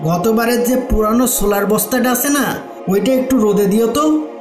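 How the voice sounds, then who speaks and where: A man's voice: high-pitched, normal speed, lightly acted. Man playing a bossy cartoon shopkeeper husband, dismissive, money-minded, roomy echo, faint music. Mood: dismissive, irritated. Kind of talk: cartoon moral story voice acting.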